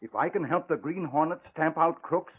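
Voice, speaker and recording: A man's voice: on the high side, normal speed, husky. An actor playing an emotional, proud old father in 1940s radio style, with impassioned, dramatic delivery. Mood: proud, moved. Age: older. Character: emotional proud old father, retired crime-fighting newspaper owner. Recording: muffled, narrow-band old radio recording.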